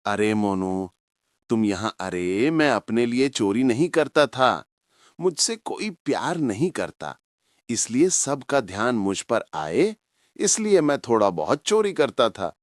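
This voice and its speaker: A man voicing a sly but soft-hearted adult village thief in a cartoon moral story, with drawn-out, expressive delivery. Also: medium pitch, no echo, studio quality. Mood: sheepish, confessing.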